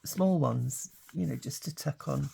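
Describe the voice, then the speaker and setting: Older woman, hoarse with hay fever, low unhurried voice, light background hiss. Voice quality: gravelly. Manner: chatty, relaxed, thinking aloud. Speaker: craft channel host. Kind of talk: casual craft tutorial.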